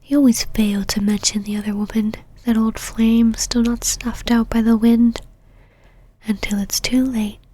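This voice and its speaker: A woman acting as an imperious vampire knight, a lady who whispers in a breathy, fairly high voice. She is possessive and controlling, teasing her listener with condescending pet names and commands in an intimate ASMR roleplay. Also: flat delivery, measured pace, warm tone. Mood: suspicious, possessive.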